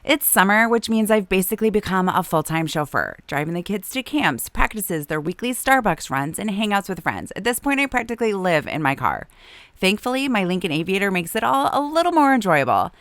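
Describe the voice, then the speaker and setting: A woman, hosting a podcast. She is hoarse from a long illness, and her deep, raspy voice has a lot of vocal fry. She chats easily and laughs often. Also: sing-song, brisk, a bit bright, no echo. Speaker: podcast host. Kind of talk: casual chat and read ads.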